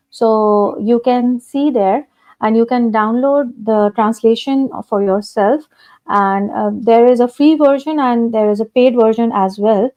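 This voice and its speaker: A woman's voice: a little high, a little mellow. A woman hosting a live stream, speaking politely and hesitantly with many fillers over a video call. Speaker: host.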